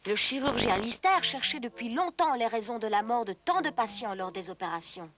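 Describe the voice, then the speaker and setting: A woman's voice: fairly high pitch, animated, moderate pace, fairly bright tone. A woman giving scripted, didactic storytelling narration for an educational history cartoon.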